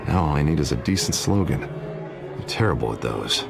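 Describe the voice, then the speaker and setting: An upbeat American district attorney on the campaign trail in a story game. He is polished and jovial, his pitch moving freely from speechmaking to banter. Music plays behind him. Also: lively intonation, moderate pace, creaky, full character voice. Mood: coaxing, earnest. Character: glib, upbeat district attorney running for mayor. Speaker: politician campaigning for mayor. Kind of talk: video game drama acting.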